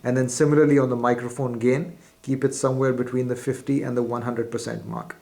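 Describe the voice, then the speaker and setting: A man's voice: mid-range voice, flat delivery, a little fast, creaky, warm tone. Methodical man giving a product demonstration. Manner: methodical, formal, explanatory. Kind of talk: step-by-step instructional demonstration.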